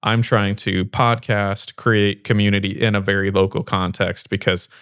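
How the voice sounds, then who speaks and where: A man's voice: lowish voice, level tone, moderate pace, a bit bright, clean tone, no room sound, studio quality. An American man hosting a podcast, speaking casually and conversationally in a joking, self-deprecating way. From small-town Missouri, United States. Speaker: podcast host.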